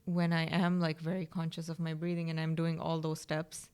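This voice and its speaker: A woman hosting a casual podcast, friendly and quick to agree with her guest. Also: deep voice, monotone, darkish tone, smooth. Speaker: podcast host.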